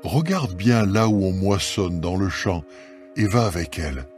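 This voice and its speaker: A man plays a wealthy older landowner in a Bible audio drama, kindly but used to giving orders. His voice sits fairly low, with soft music behind it. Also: unhurried. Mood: kindly, reassuring. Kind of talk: dramatised scripture reading.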